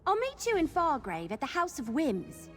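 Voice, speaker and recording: A woman's voice: high-pitched, wildly swooping pitch, normal speed, soft background music. A woman playing a friendly young adventurer in a video game, plain-spoken and casual with a wry streak. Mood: friendly, upbeat. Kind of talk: video game character acting.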